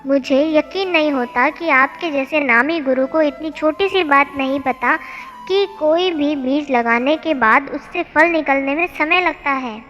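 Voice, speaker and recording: कहानी में एक महिला चिढ़े हुए, अधीर और बहस करने वाले युवक का किरदार बोल रही है।. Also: female voice, sits high, measured pace, fairly bright tone, highs cut off. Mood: exasperated. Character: impatient, irritated young man, restless disciple. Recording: long hall reverb, music bed.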